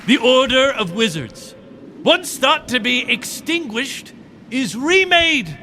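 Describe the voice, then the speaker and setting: A man playing a pompous, excitable kingdom leader who makes grandiose proclamations with petulant asides in a comedic fantasy audio drama. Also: very high voice, slow, a little hiss or hum, exaggerated voice. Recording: music and faint crowd behind.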